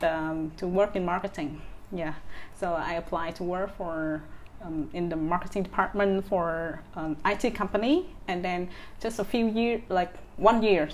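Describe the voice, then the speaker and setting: A woman's voice: fairly low pitch, a little mellow, a little hiss or hum. A woman in her thirties from Vietnam with a Vietnamese accent, speaking as an interview guest with many fillers and restarts. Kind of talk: casual interview.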